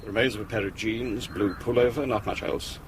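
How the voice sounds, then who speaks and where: Man, acting, police doctor, slightly low pitch, clinical, impatient, gloomy, noisy radio crime drama. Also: steady tone, brisk, natural voice. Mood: curt, impatient.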